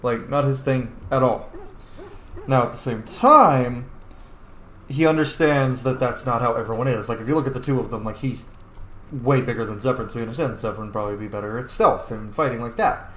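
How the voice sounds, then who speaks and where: A man's voice: mid-range voice, a little fast, fairly bright tone. A man narrating his roleplay playthrough of a game in a casual, informal, musing way. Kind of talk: casual game commentary and in-character dialogue reading. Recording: roomy echo, faint background noise.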